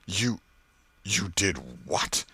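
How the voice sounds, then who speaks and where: A man's voice: deep voice, expressive, extremely slow, pushed and bright, husky, no echo, exaggerated voice. A man voicing a stern, indignant storybook schoolmaster with a pompous, formal, commanding manner.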